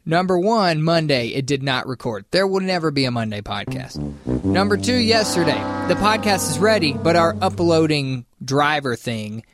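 A man hosting a morning radio show, wry and teasing, running through announcements briskly. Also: fairly high pitch, lively intonation, music in the background. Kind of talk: casual morning radio banter.

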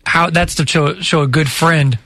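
A man's voice: fairly high pitch, steady tone, normal speed, sharp tone, dry recording. A man hosting a morning radio show in a studio, chatty and flustered, stumbling over words. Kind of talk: casual morning radio banter. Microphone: studio microphone.

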